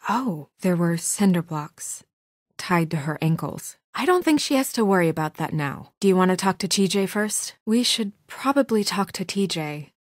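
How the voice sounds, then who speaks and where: A woman playing a composed, determined, guarded young fairy-tale heroine in a video game, speaking in an earnest, firm, measured way. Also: lowish voice, lively intonation, no echo, clean studio sound. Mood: grave, businesslike. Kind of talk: naturalistic video game voice acting.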